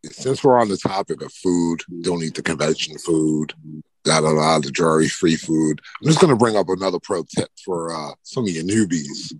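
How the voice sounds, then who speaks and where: Husky low-voiced man giving tips. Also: normal speed, no room sound, low-quality recording. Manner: relaxed, gives practical tips with asides. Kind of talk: casual advice chat.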